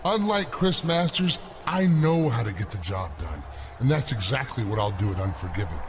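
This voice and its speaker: A man's voice: mid-range voice, big pitch swings, moderate pace, a little hiss or hum, natural voice. A man voicing a boastful, cocky wrestler.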